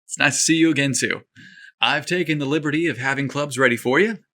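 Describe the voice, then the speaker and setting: A flustered, eager young clerk behind a golf shop counter, put on by a male narrator. His bright voice leaps upward when he gets excited or nervous. He sounds like he is trying hard to stay calm. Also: fast. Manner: flustered, excitable, voice jumping high.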